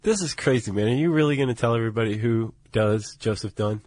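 A man's voice: mid-range voice, fast talker, darkish tone, no room sound. A man co-hosting a podcast, chatting casually with informal, joking interjections. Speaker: podcast co-host. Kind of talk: casual chat, reacting to his partner.

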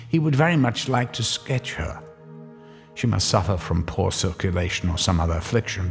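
A man narrating a radio drama in a measured, wry storytelling manner. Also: mid-range voice, vocal fry.

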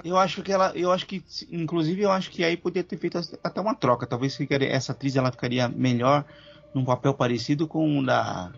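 Man, gravelly voice, hesitant opinionated chat, muffled recording. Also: a little high, quick pace. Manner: hesitant, opinionated, many restarts. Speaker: podcast co-host. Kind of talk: casual chat. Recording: muffled recording with faint music.

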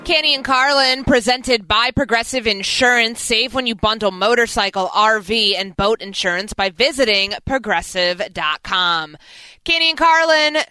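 A woman's voice: on the high side, normal speed, sharp tone, clear, resonant tone, no room sound, faint music behind. A woman hosting a sports radio talk show with a polished broadcast delivery. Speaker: radio host.

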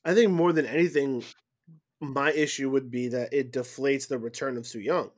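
A man's voice: medium pitch, fairly even intonation, normal speed. A man co-hosting a podcast, talking casually in an earnest way, often hesitating and repeating words. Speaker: podcast co-host. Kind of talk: casual chat.

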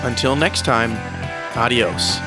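A man's voice: medium pitch, slow pace. A man hosting a podcast, delivering a scripted show sign-off. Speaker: podcast host. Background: guitar music.

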